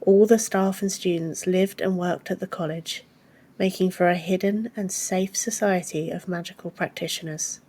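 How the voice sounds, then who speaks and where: A woman's voice: fairly low pitch, steady tone, normal speed, warm tone, slightly rough. A woman reading a fantasy story as a scripted audiobook narration.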